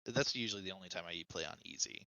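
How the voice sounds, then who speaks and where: A man's voice: low voice, fast talker, creaky, bright, forceful tone, low-quality recording. A man speaking as a podcast guest, casually and reflectively explaining his own preferences in video games.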